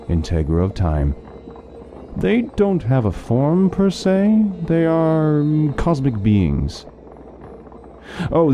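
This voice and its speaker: An actor playing a learned doctor who eagerly lectures on lore in an interactive fantasy story. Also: male voice, on the low side, dark tone, slight background noise, lightly acted. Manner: talkative, lecturing, fond of lore. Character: scholarly male doctor, middle-aged, chatty lore lover.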